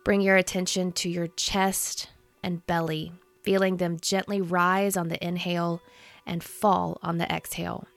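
A woman in her thirties leading a guided meditation in a soothing, gentle, unhurried manner. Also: fairly low pitch, little pitch movement, gravelly, faint music behind. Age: 30s.

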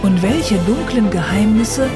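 Woman narrating dramatically over loud music. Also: medium pitch, lively intonation, unhurried. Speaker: narrator of an audio drama summary.

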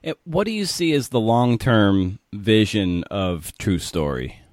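A man's voice: lowish voice, lively intonation, unhurried, a little mellow, dry recording, clean studio sound. A man hosting an interview podcast, asking questions informally and rephrasing them as he goes.

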